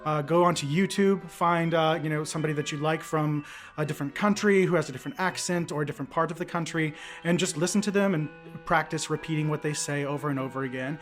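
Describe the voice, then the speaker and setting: A man's voice: on the high side, quick pace, faint music behind. A man from Oklahoma with a clipped mid-Atlantic American accent, casually explaining as a podcast cast member. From Oklahoma, USA. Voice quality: clipped enunciation.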